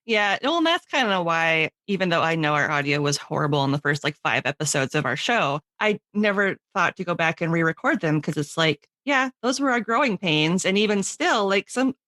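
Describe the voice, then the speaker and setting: A woman's voice: on the low side, lively intonation, a little fast, hardly any pauses, fairly bright tone, no room sound. A woman hosting a podcast in a casual chat, informal and encouraging toward the guest. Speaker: podcast host.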